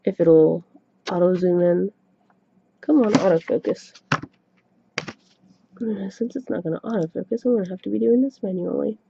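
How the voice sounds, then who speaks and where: A soft-spoken woman giving a tarot reading to camera at home, chatty and a little self-conscious, recorded on a webcam microphone. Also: medium pitch, measured pace, vocal fry, long pauses, background noise. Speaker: tarot reader. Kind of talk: casual solo reading to camera. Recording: dull lo-fi webcam mic recording.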